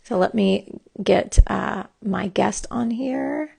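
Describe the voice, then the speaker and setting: Woman coach hosting a live stream, kinda gravelly voice, rambly and chatty, podcast mic at home. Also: mid-range voice, slow pace, darkish tone. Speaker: host and coach. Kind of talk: casual live-stream chat. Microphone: desktop podcast microphone.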